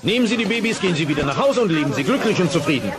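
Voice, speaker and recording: A boastful dinosaur professor, with a high voice that swings widely up and down. He declaims his science with great self-importance, in comic audio drama style. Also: sing-song, racing, loud music behind the voice. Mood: self-important. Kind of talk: German audio drama acting.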